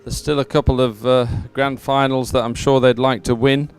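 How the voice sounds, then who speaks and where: A man commentates live on a sporting event in a smooth, clear voice at a middling pitch. He announces in a measured way, pausing often as he searches for the next detail. Faint music sits behind him. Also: flat delivery.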